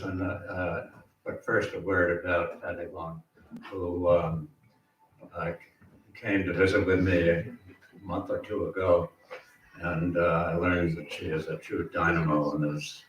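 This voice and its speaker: Very deep-voiced man, halting and measured. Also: flat delivery. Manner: halting, measured, many fillers. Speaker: state legislator on a panel. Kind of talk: answering questions at a public forum. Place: community meeting hall. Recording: roomy hall, distant mic, faint background noise.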